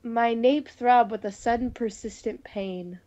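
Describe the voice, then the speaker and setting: A woman's voice: a little high, unhurried, dark tone. A playful woman reading visual novel narration aloud between bits of casual chat, sometimes stumbling over the text. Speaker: gaming video host. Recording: muffled, noisy home microphone.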